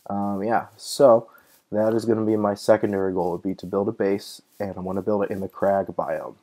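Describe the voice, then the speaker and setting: A young man hosting casual let's-play commentary, chatty and easily excited, thinking aloud with fillers, his voice a little off from being slightly sick. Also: fairly low pitch, normal speed. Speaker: gaming channel host.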